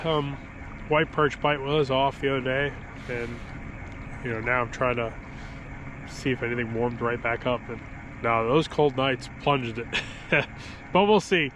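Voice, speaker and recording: A man filming a casual fishing vlog outdoors in winter, sounding stuffy from a cold, talking in a rambling, relaxed way with small chuckles. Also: mid-range voice, a little slow, pauses often, poor audio. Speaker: fishing vlogger. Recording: outdoor recording with clear background noise.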